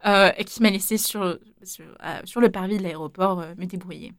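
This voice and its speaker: A woman's voice: medium pitch, normal speed, dry recording, clean studio sound. A young woman answering interview questions casually, hesitant, with many fillers and restarts. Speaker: interviewee, former volunteer.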